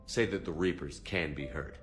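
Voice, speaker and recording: A man playing a confident, gruff military commander in a video game cutscene. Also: rumbling low, normal speed, fairly bright tone, soft background music. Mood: earnest. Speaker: squad commander.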